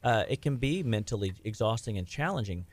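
A man's voice: fairly low pitch, lively intonation, a little fast, dry recording. A middle-aged man, a health practitioner, talking in a casual rambling way with lots of restarts and fillers. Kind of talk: casual podcast chat.

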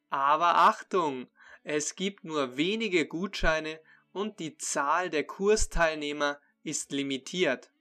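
A man who teaches German online, making a direct sales pitch to his learners for a course discount. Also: sharp tone. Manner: slow, deliberate, addresses the listener directly.

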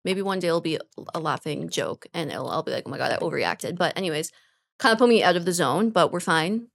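A woman co-hosting a podcast in casual chat, chatty and expressive and quick to laugh along. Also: low-pitched, creaky. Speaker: podcast co-host.

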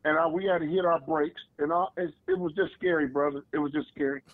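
A man, co-host of a casual chat show, talking with a voice gone hoarse, telling stories emphatically. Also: on the high side, fairly even intonation, fast, no room sound. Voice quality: gravelly. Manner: storytelling, emphatic. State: losing his voice. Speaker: podcast co-host. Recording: tinny phone-like line, dry.

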